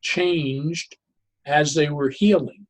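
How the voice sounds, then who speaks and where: A man explaining ideas conversationally as an interview guest, in an animated, halting way, often repeating and interrupting himself. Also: a little high. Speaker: podcast guest, psychologist turned joy advocate.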